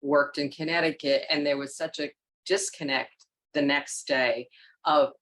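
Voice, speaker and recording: A woman with a New York accent, co-hosting a podcast and telling a story in a lively way. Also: deep voice, unhurried, slight background noise. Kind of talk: conversational podcast interview.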